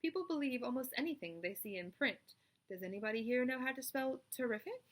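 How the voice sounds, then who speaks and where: A bossy, quick-witted female spider from a children's story, as a woman reader puts her on. She speaks in a crisp, exacting way with dry scorn, taking charge of every creature around her. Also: high voice, lively intonation, voice pushed up. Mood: brisk, confident. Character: brisk, bossy, clever female spider running a meeting. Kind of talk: children's storybook read-aloud.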